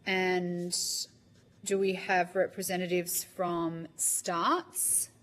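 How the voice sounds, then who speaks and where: A woman's voice: fairly low pitch, level tone, drawn-out words, fairly bright tone. A woman chairing a parliamentary committee hearing, polite and procedural.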